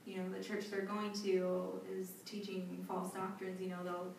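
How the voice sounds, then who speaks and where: A young woman answering interview questions in a chatty, animated way, laughing often and leaning on casual fillers. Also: lowish voice, measured pace, gravelly. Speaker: interviewee, volunteer back from abroad. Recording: echoey hall, distant mic, faint noise.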